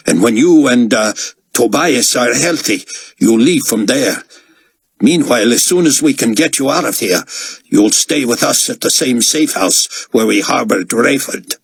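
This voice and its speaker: Man doing a measured church leader, steady and reassuring, a bit raised in pitch, husky. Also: dry recording, exaggerated voice.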